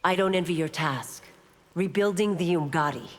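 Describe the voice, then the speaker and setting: An actress playing a stern, loyal police constable in a video game, speaking in a measured, formal and firm way. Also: female voice, lowish voice, heavy background noise, close to an everyday voice. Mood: formal, respectful. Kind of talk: video game dialogue acting. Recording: long hall-like reverb.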